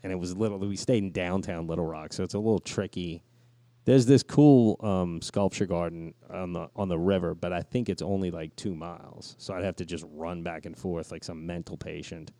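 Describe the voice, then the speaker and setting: A man's voice: low-pitched, moderate pace, mellow, no echo. A man co-hosting a podcast, telling slangy, joking stories in a casual, chatty way. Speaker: podcast co-host.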